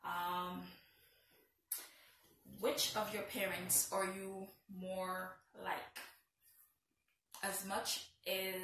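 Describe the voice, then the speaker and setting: A woman's voice: mid-range voice, fairly bright tone, a little hiss or hum. Playful Haitian woman in an echoey room. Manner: playful, teasing, self-mocking asides. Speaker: vlogger. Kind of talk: casual get-to-know-me vlog. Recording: very echoey room, a bit far from the mic.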